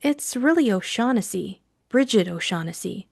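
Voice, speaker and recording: A woman voicing a timid, troubled young female client in an audiobook, hesitant and pleading, with a hushed, trembling delivery. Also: mid-range voice, expressive, moderate pace, gravelly, slightly rough, dry recording, clean studio sound. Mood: eager, sheepish. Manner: hushed, hesitant, quivering, stammering. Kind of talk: audiobook character acting.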